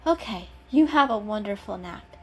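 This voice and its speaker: A woman's voice: medium pitch, expressive, moderate pace, slight background noise, close to an everyday voice. A woman voicing a caring, know-it-all female cat in a storybook, explaining patiently and kindly.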